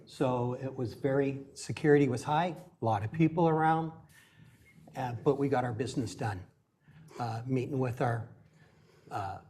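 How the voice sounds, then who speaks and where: A man's voice: mid-range voice, normal speed, warm tone, a little hiss or hum. A man chairing a city council meeting, speaking plainly with many "uh" fillers into a meeting room microphone. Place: council chamber.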